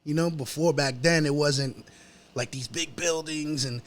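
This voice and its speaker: Man, New York accent, slightly high pitch, casual storytelling, slangy, halting, repeats himself, podcast guest. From New York City. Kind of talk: casual chat, telling personal stories.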